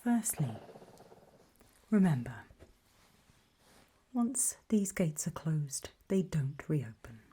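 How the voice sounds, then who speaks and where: A woman voicing a calm, ominous gatekeeper in a hushed, breathy ASMR roleplay, speaking mostly in deliberate whispers with pauses. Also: sits low, full character voice. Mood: grave, hushed. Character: calm, ominous female gatekeeper briefing travelers. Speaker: ASMR performer.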